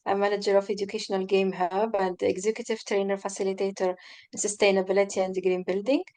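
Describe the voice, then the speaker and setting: A woman's voice: mid-range voice, flat delivery, quick pace, warm tone. A woman from Tunisia, speaking as a participant in an online meeting and searching for words. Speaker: audience participant, executive trainer. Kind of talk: asking a question in an online meeting.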